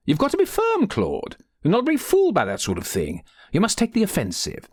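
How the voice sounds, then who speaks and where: Storybook role: indignant, insistent rector's wife performed by a man, very animated and raised in pitch, dry close sound. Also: measured pace, vocal fry, no echo, full character voice. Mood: forceful urging. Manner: emphatic, goading, insistent. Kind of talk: audiobook character voice.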